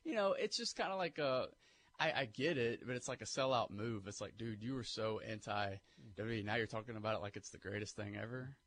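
A man co-hosting a sports talk show who gives blunt opinions in an animated, casual way. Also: lowish voice, brisk. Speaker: sports radio co-host.

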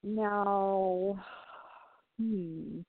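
A woman's voice: mid-range voice, steady tone, extremely slow, dry recording. Reassuring woman medium on a tinny line. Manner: gentle, reassuring, hesitant with many ums. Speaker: psychic medium giving readings. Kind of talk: phone-in psychic reading on a talk show. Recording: tinny phone line, dry.

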